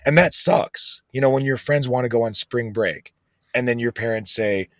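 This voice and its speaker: A man's voice: mid-range voice, normal speed, a bit bright, no echo. A man being interviewed as a guest author, talking casually and earnestly with many fillers like "you know".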